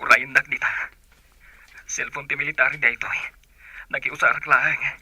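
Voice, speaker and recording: A man's voice: medium pitch, little pitch movement, normal speed, sharp tone, no room sound, low-quality recording. An actor with an Ilocano accent playing a rescued young husband who reassures his sweetheart tenderly in a radio drama.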